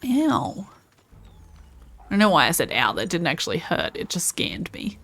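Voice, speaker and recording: A woman's voice: lowish voice, sing-song, normal speed, a bit bright, slightly rough. An Australian woman chatting casually while streaming gameplay and answering viewers' comments.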